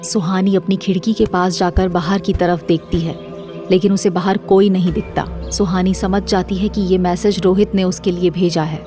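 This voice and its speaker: A woman's voice: fairly low pitch, fairly even intonation, normal speed, music in the background. A woman narrating a scripted dramatic story as the narrator of an audio series.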